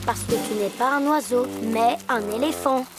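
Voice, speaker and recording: A woman voicing a gentle, sensible young hippo boy in a children's cartoon, childlike and matter-of-fact. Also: fairly high pitch, moderate pace, lightly acted. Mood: skeptical. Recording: music under the voice.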